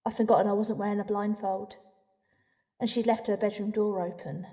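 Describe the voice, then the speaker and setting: A woman with a British accent playing a lonely, introspective woman in an audio drama, speaking intimately with deliberate pauses. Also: medium pitch, steady tone, mellow, close to an everyday voice. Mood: reflective, uneasy. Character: lonely, introspective woman telling her dark love story. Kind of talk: dramatic first-person audio drama narration and dialogue.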